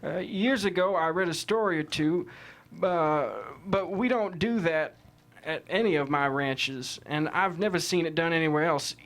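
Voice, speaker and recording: A man's voice: a little high, moderate pace, fairly bright tone, close to an everyday voice. A man playing an indignant, plain-spoken cattle rancher giving folksy, emphatic testimony in a courtroom drama table read. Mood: defensive.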